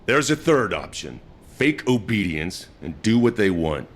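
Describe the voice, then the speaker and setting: A man's voice: on the low side, sing-song, measured pace. An actor playing a blunt, practical male squad leader. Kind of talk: game cutscene voice acting.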